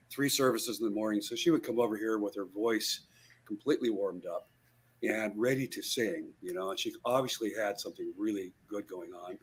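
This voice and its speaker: This is an older man in his sixties with a low-pitched, husky voice. He rambles through his memories with plenty of fillers and restarts. He is a guest on a casual interview held over a video call. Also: poor audio. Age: 60s. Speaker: guitarist guest.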